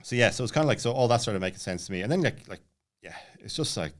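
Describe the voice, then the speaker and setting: A man in his late thirties with a low-pitched voice tells a casual personal story. He talks very quickly, looping back and jumping around as he goes, with plenty of filler words. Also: animated. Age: late 30s. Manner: rambling, jumps around, many filler words. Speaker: podcast guest.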